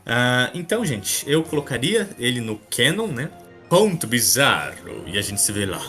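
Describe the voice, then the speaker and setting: Casual Brazilian man hosting over soft music. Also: mid-range voice, very animated, normal speed. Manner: colloquial, amused. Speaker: podcast host. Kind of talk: casual comics commentary.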